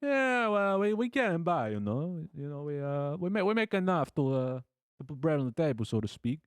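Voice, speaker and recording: A man voicing an exaggerated, halting Italian blacksmith in an improvised tabletop roleplay, with a put-on Italian accent. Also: fairly high pitch, very animated, clean tone, no room sound, studio quality, pitched above the performer's own voice. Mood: defensive, shrugging. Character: hammy cheerful Italian blacksmith turning New York gangster.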